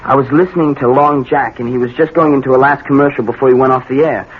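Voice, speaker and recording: An actor playing an earnest, guilty American teenage son with a hesitant, deferential manner, in 1970s radio drama style. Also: male voice, mid-range voice, steady tone, fast, lightly acted. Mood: nervous, confessing. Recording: muffled, noisy old radio recording.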